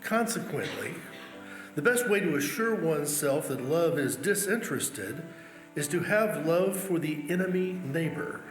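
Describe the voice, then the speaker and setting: A man reading quotations aloud as a church member. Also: on the high side. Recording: echoey hall, music underneath. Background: music.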